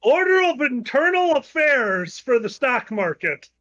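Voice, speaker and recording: A man with a Chicago accent, telling fast comic stories on a live comedy panel. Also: very high voice, big pitch swings, sharp tone, no room sound, highs cut off. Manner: fast-talking storyteller.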